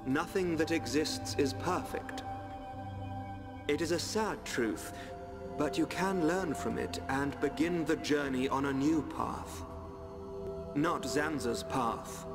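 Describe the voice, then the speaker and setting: A man playing a calm, enigmatic guide in a video game cutscene, speaking in a solemn, measured, oracular way. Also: fairly high pitch. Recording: long hall reverb, orchestral music behind.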